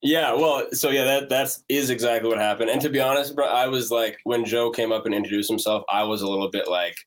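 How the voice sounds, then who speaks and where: A man's voice: medium pitch, steady tone, a little fast. A young man from North Dakota, a band member, answering in a laid-back, hesitant way with fillers. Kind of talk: casual interview answers.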